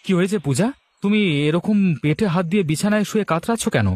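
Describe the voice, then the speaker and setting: A man's voice: sits high, fast talker, a little mellow, lightly acted. A man voicing a concerned, indignant village husband in a moral cartoon, speaking emphatically and exclaiming. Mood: alarmed. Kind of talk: Bengali moral cartoon dialogue.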